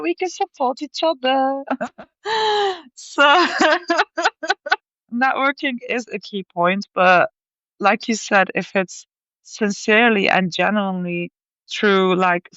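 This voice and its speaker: A woman from Belgium telling her story as a podcast guest, animated and chatty, with lively asides. Her voice comes through a little dull and muffled, in a dry, close space. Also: mid-range voice, no room sound. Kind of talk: conversational interview.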